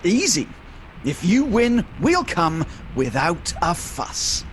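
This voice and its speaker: A man's voice: high-pitched, wildly swooping pitch, slow pace, a bit bright, a little hiss or hum, exaggerated voice, poor audio. A man acting a mild, sheepish husband and father in a comic radio play, hesitant and bantering. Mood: persuasive. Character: hapless, mild-mannered husband and father, freshly dead.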